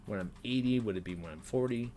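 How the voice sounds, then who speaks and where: A man's voice: lowish voice, quick pace, warm tone. A man in his twenties rambling self-critically in an unedited personal vlog, with nervous laughs and many fillers, recorded in a small bedroom on a desk webcam. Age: 20s. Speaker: vlogger.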